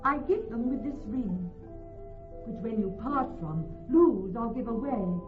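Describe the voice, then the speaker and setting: Gracious young heiress, tinny old radio. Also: female voice, fairly high pitch, lively intonation, measured pace. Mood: tender, devoted. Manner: formal, eloquent verse delivery. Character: gracious, devoted, decisive young noble heiress. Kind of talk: classical Shakespearean radio drama. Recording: tinny, band-limited vintage radio, music behind.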